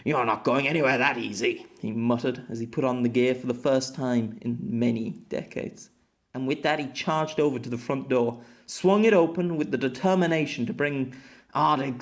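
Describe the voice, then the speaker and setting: Man narrating an audiobook, calm and measured. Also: mid-range voice.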